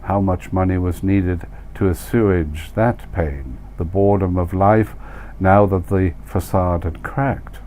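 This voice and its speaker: Casting: male author-narrator, lowish pitch, wry and deliberate storytelling with dramatic stresses, slight background noise. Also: dark tone. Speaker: author reading own story. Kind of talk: scripted literary narration.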